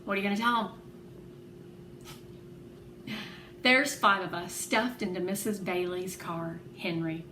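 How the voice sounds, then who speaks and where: A woman reading a children's novel aloud as an expressive, animated narrator. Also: mid-range voice, measured pace, long pauses. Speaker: read-aloud host. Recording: echoey room with clear background noise.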